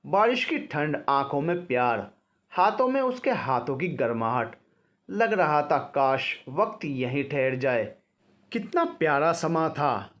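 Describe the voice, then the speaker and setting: A man tells a romantic first-person story from a script. His voice sits a little high, with very varied intonation and a slow pace. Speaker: story narrator.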